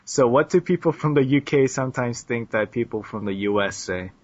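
An American man co-hosts a podcast and banters in an easy, teasing way, now and then erupting into big excited shouts. His voice sits at a middling pitch. The audio is a little muffled and lo-fi. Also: brisk. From United States. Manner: playful, teasing, bursts into loud excited yells. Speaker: podcast co-host. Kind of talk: casual banter.

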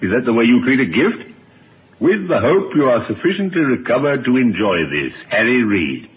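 A man's voice: mid-range voice, big pitch swings, moderate pace, lightly acted. Older male doctor, played by an actor, avuncular and inquisitive, hugely swinging intonation, tinny vintage radio play sound. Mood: musing. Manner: avuncular, musing, questioning. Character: genial, inquisitive older small-town doctor. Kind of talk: old-time radio drama acting. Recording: tinny old radio recording, faint noise.

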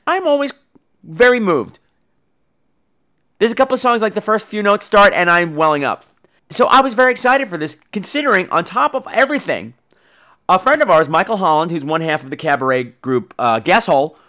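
A man hosting a podcast in a casual monologue, telling stories in a theatrical, catty and blunt way. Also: sits high. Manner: theatrical, catty, animated storytelling; profane, blunt.

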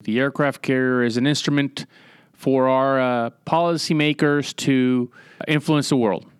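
A man's voice: mid-range voice, steady tone, a little slow, clear, resonant tone, dry recording, studio quality. A middle-aged man from Puerto Rico, a naval officer giving matter-of-fact explanations as an interview guest. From San Juan, Puerto Rico. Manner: matter-of-fact, military briefing phrasing.

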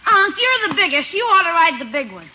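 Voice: very high voice, very animated, brisk. An actor playing a cheeky, eager young American boy in a 1950s radio sitcom, brash, slangy and teasing. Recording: noisy, muffled 1950s radio recording.

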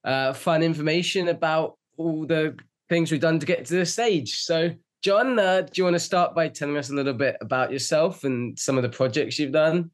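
A man's voice: a little high, normal speed. A man hosting a casual interview as the interviewer, in a friendly, encouraging manner. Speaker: interviewer, story writer. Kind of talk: casual behind-the-scenes interview.

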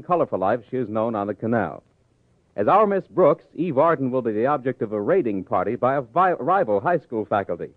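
A man's voice: mid-range voice, no room sound. A man announcing in the scripted style of 1950s network radio. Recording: tinny vintage radio broadcast.

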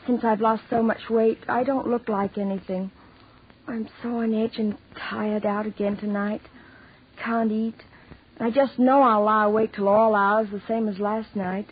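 A woman's voice: medium pitch, little pitch movement, measured pace. A woman acting a fretful, run-down woman in a scripted 1940s radio commercial, earnest and theatrical. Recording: husky-sounding tinny 1940s radio recording.

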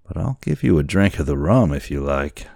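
A man's voice: rumbling low, big pitch swings, brisk, gravelly, darkish tone, no room sound, studio quality, exaggerated voice. A man voicing a brash, drunken boatswain, suspicious and threatening, with blustering colloquial talk in a storybook reading. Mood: drunken, defiant.

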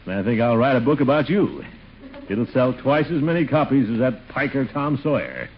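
An actor playing a blustery, sentimental middle-aged American uncle in a 1940s radio comedy, with a theatrical, drawn-out delivery. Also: male voice, medium pitch, sing-song, natural voice. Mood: pleased. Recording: muffled, noisy 1940s radio recording.

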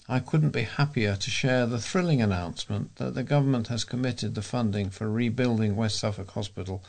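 Mid-pitched man reading local news aloud. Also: vocal fry. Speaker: volunteer newspaper reader.

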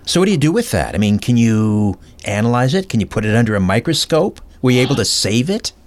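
A man hosting an interview, feeling his way into questions in a halting, conversational manner. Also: mid-range voice, very animated, dry recording. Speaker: interview host.

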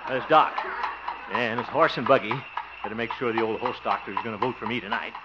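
An actor playing a vain, blustery middle-aged schemer in 1950s radio comedy, chuckling and sputtering. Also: male voice, mid-range voice, fast talker, close to an everyday voice. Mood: exasperated. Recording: muffled, very noisy old radio recording.

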